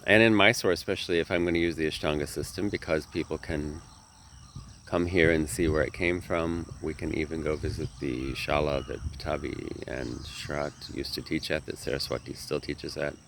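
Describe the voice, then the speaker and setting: A man's voice: very deep voice, fairly even intonation, moderate pace, fairly bright tone, a little hiss or hum. A man who teaches yoga, talking casually to camera, a little nasal from a sinus infection, earnest and rambling, often correcting himself.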